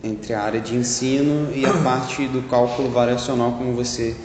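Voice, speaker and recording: A man's voice: mid-range voice, steady tone, moderate pace. Man as casual interviewer; rambles and rephrases; echoey room, faint noise.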